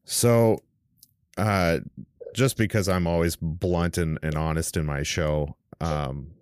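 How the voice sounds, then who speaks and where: A man in his thirties hosting a casual theology podcast, talking in a halting, searching way. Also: low-pitched, steady tone, a little mellow, smooth, no room sound, studio quality. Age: 30s. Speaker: podcast host.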